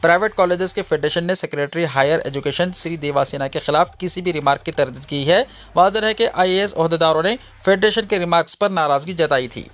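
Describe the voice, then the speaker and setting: Male news reader, slightly high-pitched voice, formal delivery of scripted bulletins. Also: brisk, bright, forceful tone.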